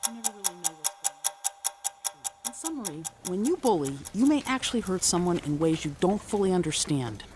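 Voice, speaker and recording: A woman's voice: lowish voice, sing-song, a little fast, a little mellow. A woman playing an earnest, admonishing school counselor in an audio drama. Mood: solemn, earnest. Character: earnest, solemn female school counselor, a doctor.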